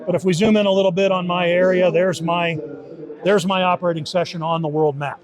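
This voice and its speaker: A man with a somewhat high voice walks through a software tool in an informal demo. He explains it conversationally, often repeating and rewording his points as he goes. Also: little pitch movement, a little fast, poor audio. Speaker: software team member demonstrating a beta tool. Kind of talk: informal product demo in an interview.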